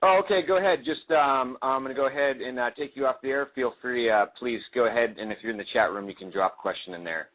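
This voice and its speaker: A man hosting a call-in radio show, interjecting quickly. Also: medium pitch, fairly bright tone, poor audio.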